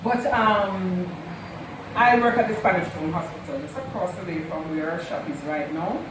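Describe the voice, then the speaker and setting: A woman, the host's mother, fondly telling stories about her daughter's childhood at an unhurried pace and repeating herself for emphasis. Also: fairly low pitch. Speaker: mother of the channel host, guest. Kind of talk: casual storytelling. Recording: echoey room, distant mic, noisy.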